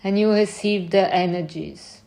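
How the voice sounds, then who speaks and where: Slow-paced woman guiding a meditation. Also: medium pitch, gravelly. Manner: gentle, unhurried short phrases with long pauses. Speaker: meditation guide. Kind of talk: guided meditation.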